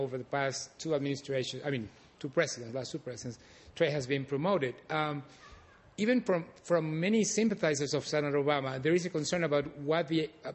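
Man, audience member, earnest, searching for words, higher pitch. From Costa Rica. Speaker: audience member asking a question.

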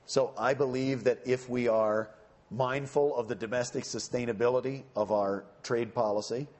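A man on a panel answering audience questions in a measured, explanatory way. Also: mid-range voice, steady tone, darkish tone. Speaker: panelist speaking for a campaign.

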